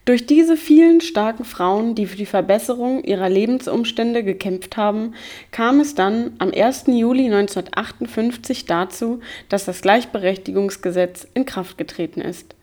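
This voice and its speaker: A woman reading scripted documentary narration, clear and even, with rhetorical questions. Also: a little high, moderate pace.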